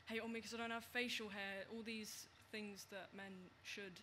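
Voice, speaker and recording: A man's voice: high voice, flat delivery, normal speed, bright, forceful tone, a little hiss or hum. A young man speaking earnestly as a panelist in a casual panel discussion.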